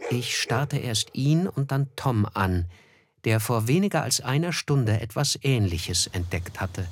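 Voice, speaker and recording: An actor playing a reflective, observant narrator in a radio drama, telling his memories in measured, literary phrases. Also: medium pitch, lively intonation, a little hiss or hum, lightly acted. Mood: reflective, grave.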